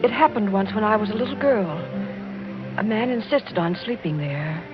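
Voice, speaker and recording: An actress playing an anxious, pleading young niece of a count, urgent and dramatic, in an old-time radio drama. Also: female voice, mid-range voice, animated, normal speed, natural voice. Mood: fearful, pleading. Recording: dull old radio recording with music.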